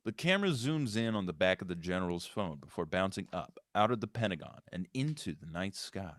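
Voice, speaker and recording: Low-pitched man narrating a screenplay, very dry. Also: big pitch swings, brisk, fairly bright tone, no echo. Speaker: stage-direction narrator.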